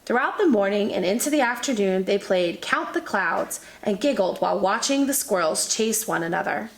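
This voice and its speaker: A woman teacher reading a children's picture book aloud in an expressive storytelling manner. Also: medium pitch, moderate pace. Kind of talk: children's read-aloud narration. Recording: echoey room.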